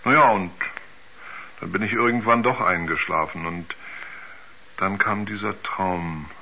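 An actor playing a troubled astronaut who haltingly recalls his moon journey in a colloquial way, in a 1970s German radio play. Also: sits low, vocal fry, pushed and bright, a little hiss or hum, heavily acted. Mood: reflective. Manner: halting, colloquial, searching for memories. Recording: muffled 1970s radio recording.

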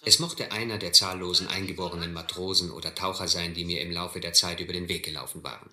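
An actor playing a shrewd, suspicious South Seas sea captain in a German adventure radio drama, speaking in a measured, dry way. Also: male voice, deep voice, bright, forceful tone, reverberant, full character voice. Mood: reflective narrating. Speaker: actor playing captain and first-person narrator.

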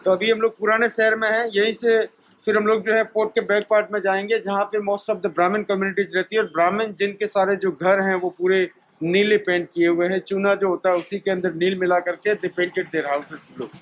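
A man's voice: high-pitched, fairly even intonation, normal speed, muffled recording. A middle-aged man working as a heritage walk guide, explaining sights outdoors and mixing English phrases into his talk. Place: outdoors in old city lanes. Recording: loud background music.

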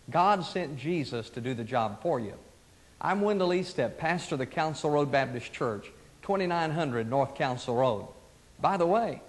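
A middle-aged man, a pastor, speaking in an earnest, gentle, inviting tone in scripted television ads from the 1980s. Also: a little high, rough recording. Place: studio sets and church rooms, indoors. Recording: 1980s local TV ad audio.

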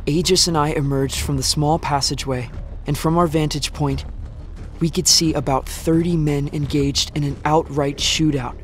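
A man's voice: on the high side, steady tone, normal speed, faint music behind, lightly acted. A young man playing an anxious apocalypse survivor with a wolf companion in an audio drama. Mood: grave, reflective. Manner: breathless muttering to himself, reflective when narrating. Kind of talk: audio drama acting, first-person narration.

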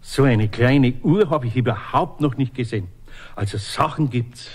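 Radio play craftsman, male actor: middle-aged Bavarian, medium-pitched, plain-spoken, gruff yet patient. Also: normal speed, close to an everyday voice. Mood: reserved, obliging. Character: gruff, patient, sensible older male master carpenter. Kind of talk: German children's radio play acting.

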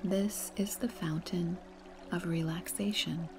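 A woman leading a guided meditation in a soft, breathy, soothing and unhurried way. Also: fairly low pitch, steady tone. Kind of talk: slow guided meditation narration. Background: meditation music.

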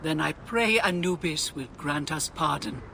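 Game role: grave healer and loyal ally, man, solemn and caring, slightly raised pitch, crisp bright tone, formal. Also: a little slow, a little hiss or hum, exaggerated voice. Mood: solemn, concerned. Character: solemn, concerned male healer and devout ally. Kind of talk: dramatic game cutscene dialogue.

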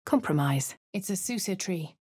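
An actress with a posh English accent playing a composed, wry female adventurer in measured narration. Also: lowish voice, lively intonation, a little mellow, husky, no echo, clean studio sound. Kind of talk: game character voice lines.

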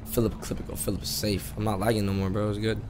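A man's voice: lowish voice, level tone, quick pace. A young man from California chatting casually in slang during online role-play, playfully argumentative and repeating himself, on a noisy headset. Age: young adult. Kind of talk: casual slangy in-character chat. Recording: noisy game voice chat, background noise.

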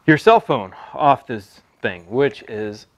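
Man, thirties, American accent, casual reviewer, medium pitch, conversational, stops and restarts. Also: lively intonation, takes it slowly, dull audio. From Texas, United States. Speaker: product reviewer. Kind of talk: casual product review to camera. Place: open metal-roofed stable, seated at a pickup tailgate.

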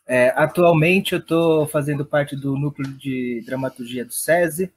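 A man's voice: a little high, unhurried, a little hiss or hum. A man with a São Paulo Brazilian accent speaking casually as an interview guest, hesitant and often checking in as he explains. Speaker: guest, theatre director and playwright.

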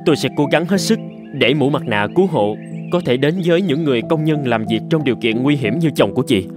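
A man voicing a dejected, gentle inventor with a sincere manner in a cartoon drama. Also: medium pitch, animated, quick pace, music in the background, close to an everyday voice. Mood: grateful, resolved.

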